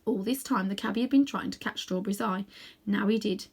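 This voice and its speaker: A woman reading a children's novel aloud as an expressive storyteller. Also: mid-range voice, fairly quick, breathy edge. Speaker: storybook reader.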